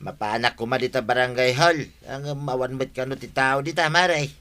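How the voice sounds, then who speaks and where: A man's voice: mid-range voice, moderate pace, bright, forceful tone, lightly acted. A man acting in an Ilocano radio drama, playing an eager village neighbour. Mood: eager, pleading.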